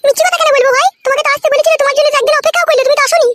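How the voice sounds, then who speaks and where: Woman as shrill high cartoon village sister. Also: steady tone, very fast, fairly bright tone, a little hiss or hum. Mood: insistent. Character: young village woman, friend's sister, matter-of-fact. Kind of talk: comic cartoon dubbing. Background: music.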